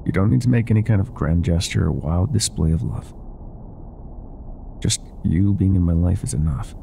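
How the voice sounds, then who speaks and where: Guy doing an older ex-mob boyfriend for asmr, super gravelly low voice, soft n affectionate, bit of background noise. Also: normal speed. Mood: tender, reassuring. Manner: soft, intimate, affectionate, hesitant at times. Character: older ex-mafia boyfriend, tender, teasing, vulnerable bar owner. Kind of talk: intimate boyfriend roleplay ASMR.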